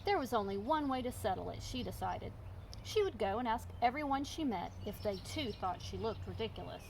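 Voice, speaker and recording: A woman's voice: fairly high pitch, big pitch swings, brisk, vocal fry, noisy background. A woman reading a storybook aloud as narrator. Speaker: storybook reader.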